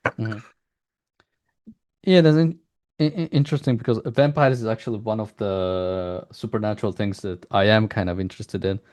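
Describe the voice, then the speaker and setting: Male interviewer, low register, conversational and halting, circles around questions with repeated words. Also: fairly even intonation, darkish tone. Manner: halting, rambling, repeats words while searching for phrasing.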